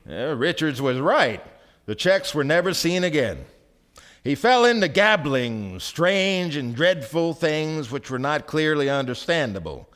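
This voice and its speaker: An actor playing a wry storyteller, narrating a radio drama on stage with theatrical emphasis and measured pauses. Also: male voice, a little high, big pitch swings, a bit bright. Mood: gossipy, grave. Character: wry, dramatic storyteller of a small-town tale. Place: theatre stage before a live audience.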